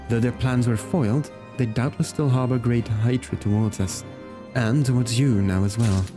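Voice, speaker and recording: A man's voice: mid-range voice, natural voice. A man reading as a formal, courteous fantasy nobleman. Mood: earnest, grave. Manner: formal, measured, polite. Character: courteous, earnest young nobleman in a fantasy game. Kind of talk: reading fantasy game dialogue aloud. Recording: music behind the voice. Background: game music.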